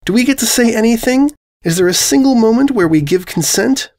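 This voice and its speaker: A man voicing a studious, exasperated female pony in a storybook reading, with a precise, deliberate and pointed manner. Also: extremely high pitch, lively intonation, darkish tone, voice pushed up. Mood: firm indignation.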